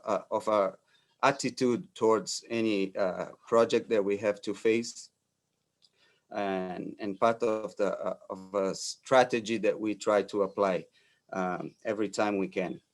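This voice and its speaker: A Brazilian architect in his forties giving a talk in English as a second language, halting with frequent fillers and restarts. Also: fairly low pitch. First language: Portuguese. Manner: hesitant, many fillers and restarts. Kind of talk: lecture presentation.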